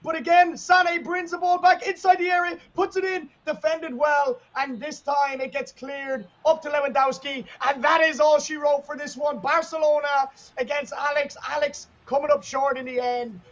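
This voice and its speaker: A man doing excited play-by-play commentary on a video game football match, shouting at goals, and hoarse as he starts losing his voice. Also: extremely high pitch, moderate pace, lo-fi sound. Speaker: esports commentator.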